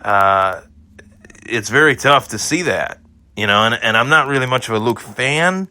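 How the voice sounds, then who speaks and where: Hoarse hungover guy doing a solo fight recap podcast, bright tone, dry close mic, lots of uhs and restarts. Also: mid-range voice, lively intonation, normal speed, dry recording. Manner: rambling, repeats himself, frequent fillers. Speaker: podcast host.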